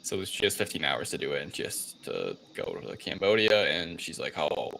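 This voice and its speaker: A man with a low-pitched voice that still has a bright, forceful edge. He speaks as a podcast co-host in casual review talk, haltingly working out his thoughts aloud. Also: sing-song, gravelly, a little hiss or hum, poor audio. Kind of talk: casual movie review chat.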